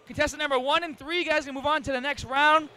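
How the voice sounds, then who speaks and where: A young man hosting a contest with a handheld mic in a crowded convention hall, talking loud and upbeat. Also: very high-pitched, fairly even intonation, fast. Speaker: host. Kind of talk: energetic event hosting.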